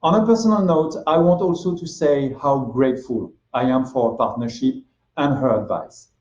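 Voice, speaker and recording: A man's voice: mid-range voice, moderate pace. A man formally introducing a keynote speaker, full of praise. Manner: warm and praising. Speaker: event presenter. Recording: echoey and dull.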